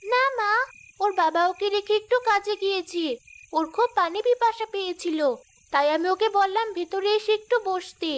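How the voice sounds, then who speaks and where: A woman's voice: very high voice, normal speed. A woman voicing a kind, dutiful young village daughter in a cartoon, with faint crickets behind. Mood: polite explaining. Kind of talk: dubbed cartoon dialogue.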